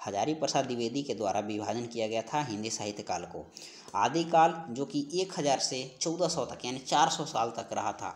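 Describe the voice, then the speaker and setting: A man giving an instructional lesson as an exam-prep teacher, working through questions and repeating key points and answers. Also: on the high side. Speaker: teacher of Hindi for exam preparation.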